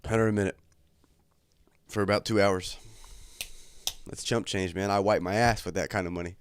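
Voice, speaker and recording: Laid-back young man, slightly congested, low voice. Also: fairly even intonation, moderate pace, a little hiss or hum. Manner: laid-back, crude, joking banter with chat. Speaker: livestream host. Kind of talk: casual livestream chat. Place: his bedroom at home.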